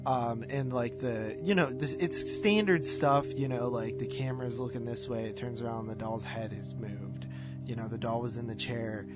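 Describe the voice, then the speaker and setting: A man with a mid-pitched voice in a casual podcast conversation, reviewing films. He talks informally and rambles through his points. Guitar music plays clearly behind him. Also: fairly even intonation, moderate pace. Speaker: podcast co-host. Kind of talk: casual movie review chat.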